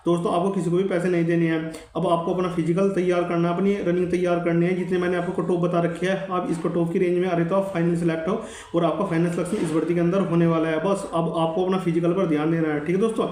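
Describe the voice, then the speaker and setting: Casting: male online presenter, high voice, rapid and insistent delivery of informal advice in an echoey room. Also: flat delivery, darkish tone, a little hiss or hum. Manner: insistent, repetitive, earnest. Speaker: exam update YouTuber. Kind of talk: informal advisory update to viewers.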